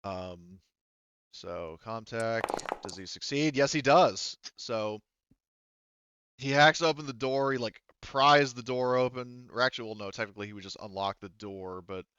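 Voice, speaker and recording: A man's voice: mid-range voice, a little slow, a bit bright, clear, resonant tone, no echo, highs cut off. A man acting as game master, casually narrating and refereeing a tabletop roleplaying game, thinking aloud and often correcting himself.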